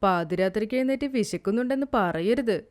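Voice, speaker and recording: A woman's voice: medium pitch, animated, a little fast, a little mellow, close to an everyday voice. A woman voicing a practical, sceptical elder sister in a village storybook tale, matter-of-fact and scolding.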